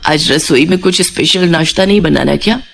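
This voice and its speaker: A woman's voice: low voice, fairly quick, creaky, voice pushed down. A woman voicing a loving, exasperated older mother-in-law who keeps the peace in a cartoon family story. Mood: puzzled, worried. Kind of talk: Hindi cartoon moral story acting.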